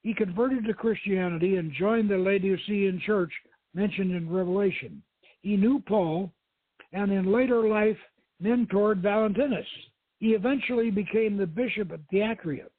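A man's voice: sits high, normal speed. A man voicing a learned, earnest lady archaeologist in an adventure storybook, over a thin phone-like line. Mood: assured, scholarly. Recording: thin phone-like radio line, roomy echo.

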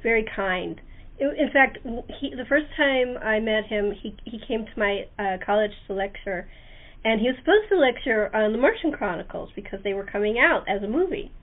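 A woman with a fairly high voice, heard through thin phone-like audio. She hosts casually, chatting and gushing as she shares personal anecdotes. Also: expressive, moderate pace. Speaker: interview host. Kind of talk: casual chat, sharing anecdotes. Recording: band-limited phone-line sound.

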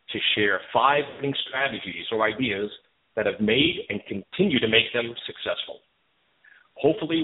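A man hosting a talk show and interviewing a guest, heard over a tinny, phone-like line. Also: mid-range voice. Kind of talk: conversational interviewing with scripted show introduction.

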